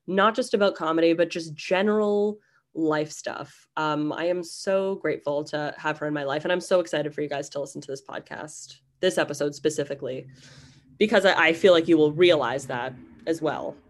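A woman's voice: low voice, normal speed. A young woman hosting a casual podcast intro, a little nasal from a cold, chatty and self-deprecating. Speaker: podcast host.

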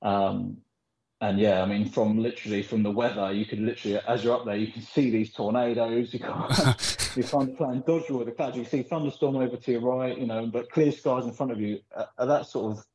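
A man's voice: medium pitch, a little fast. Man telling rambling stories, echoey. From Philippines. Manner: rambling, animated storytelling with frequent fillers. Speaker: pool player guest. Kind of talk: casual podcast interview. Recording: echoey, dull lo-fi recording.

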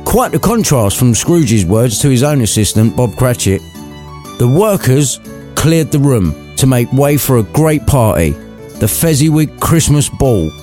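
A man's voice: mid-range voice, sing-song, a little slow, mellow, soft background music. A man narrating a scripted story in an irreverent way, with cheeky, sweary asides to the listener.

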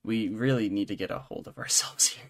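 A man talking casually as a podcast co-host, in a halting, rambling way. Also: on the low side, slight background noise. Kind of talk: casual chat.

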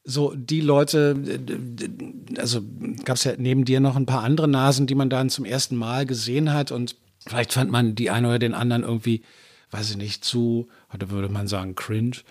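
A man's voice: medium pitch, steady tone, moderate pace, a little mellow, no room sound, clean studio sound. A middle-aged man guesting on a podcast, talking in a reflective way and thinking aloud with many restarts. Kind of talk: casual conversation. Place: living room.

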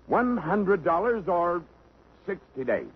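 A man's voice: high voice, big pitch swings, slow pace, husky, heavily acted. An actor playing a pompous, stern male judge passing sentence in a 1950s radio drama. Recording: dull old radio recording.

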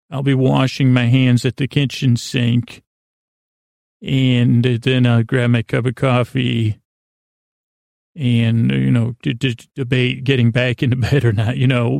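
Gravelly guy doing a rambly bedtime podcast, keeps interrupting himself, dry close mic. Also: mid-range voice, a little mellow, no room sound. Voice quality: gravelly, creaky. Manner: meandering, self-interrupting, digressive. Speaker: podcast host.

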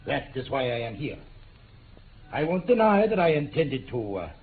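An actor playing a haughty, hot-tempered aristocratic villain, indignant and theatrical, in 1950s radio drama style. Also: male voice, mid-range voice, big pitch swings, normal speed. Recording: tinny, noisy 1950s radio recording.

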